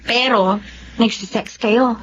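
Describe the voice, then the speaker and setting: A woman's voice: a little high, steady tone, slow, fairly bright tone, dull audio, a little hiss or hum. A woman playing a frank, scolding friend in a drama, speaking with a Filipino accent. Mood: probing. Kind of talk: Filipino dramatic radio-style acting.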